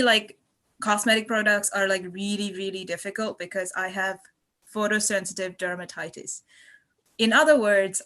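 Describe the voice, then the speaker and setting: A woman's voice: medium pitch, a bit bright. A woman in her twenties from Malaysia chatting casually on a podcast, effusive and rambling as she tells her stories. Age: 20s. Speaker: podcast guest and student contributor.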